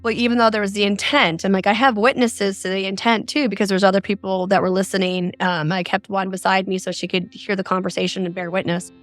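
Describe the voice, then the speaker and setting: A woman's voice: mid-range voice, level tone, fast, few pauses, faint music behind. A middle-aged woman telling her own story as an interview guest. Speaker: interview guest, mother recounting a scam. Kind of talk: recounting a personal experience in an interview.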